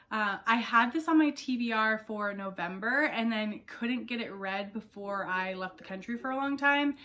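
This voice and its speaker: Woman, young adult, book vlogger, slightly high voice, chatty and rambling, voice not fully recovered from illness. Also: bright, forceful tone. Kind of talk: casual chat to camera.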